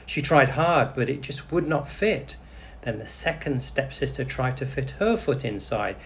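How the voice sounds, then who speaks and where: A man reading a bedtime story aloud for English learners in an animated, deliberate manner. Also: mid-range voice. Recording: clearly noisy background.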